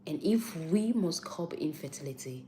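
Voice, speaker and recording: Low-voiced Nigerian woman chatting. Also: lively intonation, moderate pace, warm tone, a little hiss or hum. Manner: chatty, repeats points for emphasis, answers viewer comments. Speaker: health talk host on a live stream. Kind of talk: casual live-stream health talk.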